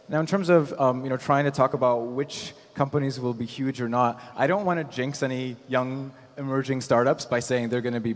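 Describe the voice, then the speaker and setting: A man speaking as an angel investor on a conference panel, explaining his points in a measured way with frequent "you know". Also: medium pitch. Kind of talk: panel discussion, explanatory talk. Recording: echoey hall with long reverb.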